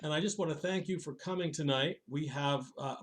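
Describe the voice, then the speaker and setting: A man's voice: a little high, fairly quick. A man hosting an event, speaking to an audience to give closing thanks. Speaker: event host. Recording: clearly noisy recording with clicks.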